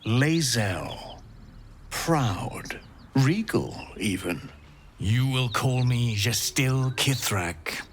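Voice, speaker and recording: A man playing a haughty, menacing alien knight commander in a video game, speaking imperiously and deliberately. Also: mid-range voice, expressive, slight background noise. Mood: silkily menacing. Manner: imperious, slow, deliberate, threatening. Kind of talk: dramatic video game acting.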